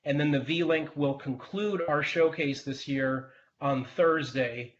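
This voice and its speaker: A man hosting a webinar presentation in a measured, businesslike way. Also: fairly high pitch, dull audio, a little hiss or hum.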